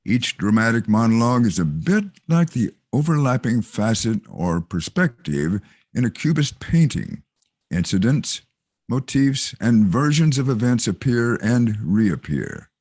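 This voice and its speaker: A man narrating a stage script and its directions in a measured, theatrical manner. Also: lowish voice, darkish tone, no room sound, studio quality.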